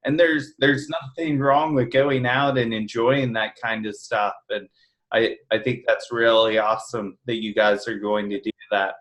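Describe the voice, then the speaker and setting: A man's voice: medium pitch, steady tone, measured pace, a bit bright, low-quality recording. An American man chatting casually as a podcast co-host. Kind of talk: casual chat.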